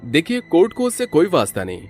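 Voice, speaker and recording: Man acting a stern, high-pitched court official. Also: wildly swooping pitch, quick pace, a little mellow, soft background music. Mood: cold, officious. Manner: blunt, officious.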